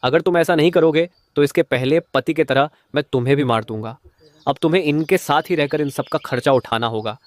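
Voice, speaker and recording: A man's voice: a little high, fairly quick, dry recording, close to an everyday voice. A man playing a boastful thug crow brother. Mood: boastful, threatening. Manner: boastful, threatening. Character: menacing thug crow, protective brother of the bride. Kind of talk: Hindi moral-story cartoon dialogue.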